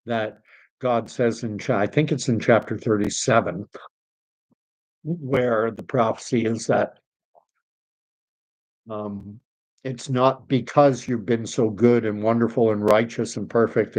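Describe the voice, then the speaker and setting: A man's voice: mid-range voice, a little mellow, poor audio. A middle-aged man from Canada hosting a live stream, rambling with many fillers as he reads chat comments, his voice wearing out. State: losing his voice.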